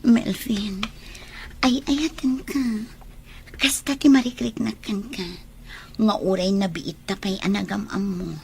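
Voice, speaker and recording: A woman's voice: medium pitch, fairly even intonation, a little slow, gravelly, slightly rough, full character voice. A woman acting a coaxing, demanding young girlfriend in an audio drama, with an Ilocano accent. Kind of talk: amateur Ilocano radio-style comedy drama acting.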